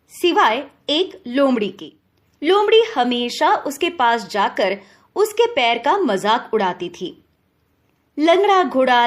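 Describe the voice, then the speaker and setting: A woman narrating a scripted children's story as the storyteller. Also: high-pitched.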